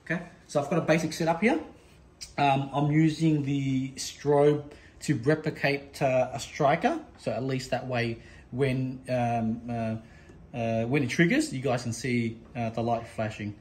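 Halting man demonstrating in an echoey room. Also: medium pitch, fairly even intonation, darkish tone. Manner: halting, repetitive, addressing viewers as guys. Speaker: product demonstrator. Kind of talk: unscripted product demo. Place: indoor room, desk demo. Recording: echoey room with background noise.

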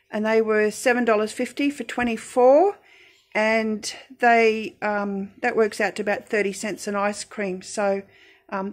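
A middle-aged woman with an Australian accent, calmly narrating a budget grocery haul with frequent ums. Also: a little high. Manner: calm, unhurried, frequent ums. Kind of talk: casual voice-over narration.

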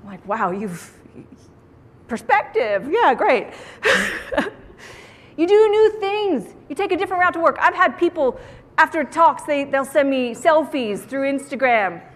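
A woman giving a motivational keynote talk to an audience on stage, with an animated, theatrical delivery and playful emphasis. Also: sits high, unhurried. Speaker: keynote speaker. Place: large indoor conference room, on stage. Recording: echoey conference hall sound.

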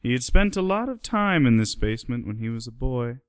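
A man's voice: mid-range voice, sing-song, brisk, clean tone, dry recording, studio quality. A man giving scripted narration for a radio play.

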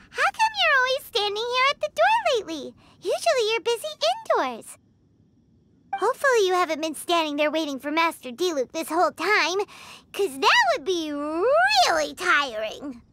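Tiny chirpy fairy girl for a game, super high and bouncing all over, music behind. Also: very animated, moderate pace, very noisy. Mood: curious. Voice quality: squeaky. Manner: chirpy, naive, refers to self in third person. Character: tiny cheerful childlike female fairy companion. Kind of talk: video game character dialogue.

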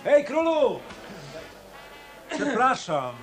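A man's voice: very high voice, wildly swooping pitch, drawn-out words, soft background music, exaggerated voice. A male actor playing a tough, wry hotel detective in a hard-boiled Polish radio play. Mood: firm, insistent.

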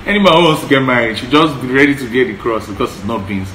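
A man's voice: mid-range voice, expressive, quick pace, fairly bright tone. A man in his thirties with a Yoruba accent, co-hosting a casual advice chat, talking earnestly and emphatically and repeating points. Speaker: co-host. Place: living room, indoors. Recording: echoey room, faint hiss.

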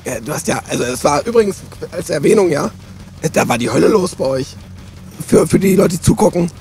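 Hoarse gravelly man, high voice. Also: lively intonation, brisk, a little mellow, a little hiss or hum. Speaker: host. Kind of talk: casual festival interview. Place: inside a van at a festival. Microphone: handheld mic.

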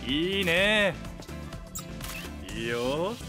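Male photographer, lightish high pitch, very lively, brisk and encouraging, anime audio drama. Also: music in the background. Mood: upbeat, encouraging. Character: upbeat, coaxing male photographer on a shoot. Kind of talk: anime-style voice drama acting.

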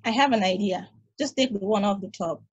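A woman reading the part of a patient, knowing space probe in a play, measured and explanatory, over a video call. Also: mid-range voice, slightly rough, dry recording, dull audio, lightly acted. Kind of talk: table read of a stage play. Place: home room, over a group video call.

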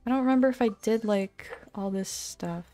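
Young female streamer, informal, expressive, rambling chat over gameplay, background game music. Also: medium pitch, normal speed.

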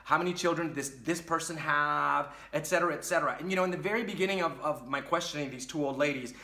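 A man in his thirties from Puerto Rico, telling a story to camera in a casual, animated way while gesturing a lot. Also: on the high side, fast, roomy sound. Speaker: vlogger. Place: small dim room with curtain behind.

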